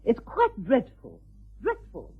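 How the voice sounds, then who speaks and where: An actress playing a dignified, dramatic aristocratic widow with an upper-class English accent in a radio drama. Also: female voice, fairly high pitch, huge pitch swings, takes it slowly, heavily acted. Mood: distressed. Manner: genteel, dramatic. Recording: muffled, husky-sounding old radio recording.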